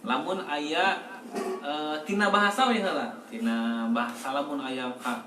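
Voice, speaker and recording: A man with a West Javanese accent hosts a casual group chat, explaining by example in a fairly high, jovial voice. He sounds distant from the mic, in an echoey space with loud noise behind him. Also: lively intonation, unhurried. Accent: Sundanese-speaking West Java.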